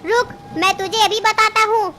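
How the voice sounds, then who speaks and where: A woman's voice: extremely high pitch, fairly even intonation, moderate pace, background noise. A woman doing a greedy bullying hunter bird. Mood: menacing. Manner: bullying, menacing. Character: greedy male hunting bird, menacing predator. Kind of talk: cartoon story voice acting.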